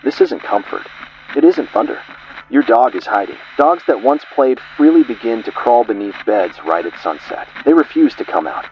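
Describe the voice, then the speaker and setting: A man's voice: medium pitch, lo-fi sound, a little hiss or hum. A man giving ominous scripted horror narration, delivered in short clipped fragments.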